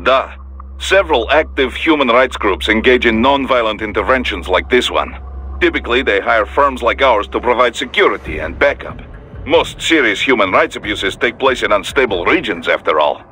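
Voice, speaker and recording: An actor playing a seasoned, pragmatic Russian security operations chief in a video game, with a Russian accent and a measured, dry briefing manner. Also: male voice, mid-range voice, expressive, bright, forceful tone, slight background noise. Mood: matter-of-fact, measured. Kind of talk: video game radio dialogue acting.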